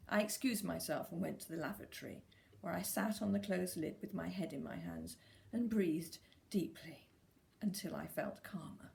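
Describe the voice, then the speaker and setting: A woman reading a scripted first-person literary story in a dry, measured storytelling manner. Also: lowish voice, gravelly, darkish tone. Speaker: story reader.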